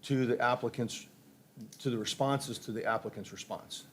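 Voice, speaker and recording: A man's voice: medium pitch, normal speed, a little hiss or hum. Hesitant man at a commission meeting. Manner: hesitant, repeats words. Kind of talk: discussion at public meeting.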